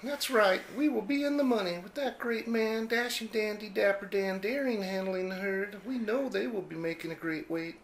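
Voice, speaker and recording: A woman's voice: medium pitch, moderate pace, vocal fry, few pauses, lightly acted. A woman playing a gushing, adoring rancher's daughter in a parody of a western radio serial. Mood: excited. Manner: sweetly gushing, exaggerated. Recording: roomy, noisy home recording.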